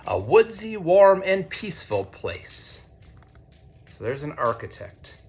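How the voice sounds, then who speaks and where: An American man, a teacher, reads a picture book aloud to children in an expressive way, with conversational asides. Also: fairly high pitch. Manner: expressive, deliberate when reading, conversational in asides. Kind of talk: read-aloud storytelling with chatty asides to children.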